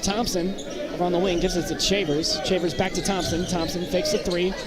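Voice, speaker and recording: An American man doing live basketball play-by-play in short, clipped phrases that turn excitable on big plays. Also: high-pitched, fairly quick. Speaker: play-by-play commentator. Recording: very loud gym crowd noise behind. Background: loud crowd and bouncing basketballs.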